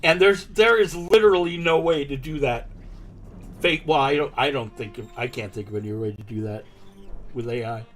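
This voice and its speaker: A man's voice: mid-range voice, normal speed, soft background music. A man chatting casually and telling anecdotes, acting out quotes and checking in with the listener. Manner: animatedly quotes himself and others, frequent "right?" tags. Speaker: language-learning live streamer.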